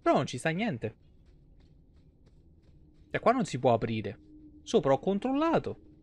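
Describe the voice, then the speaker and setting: A man's voice: fairly high pitch, wildly swooping pitch, measured pace. A man in his thirties giving casual, chatty live gameplay commentary in an informal way. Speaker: game streamer. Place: small indoor room.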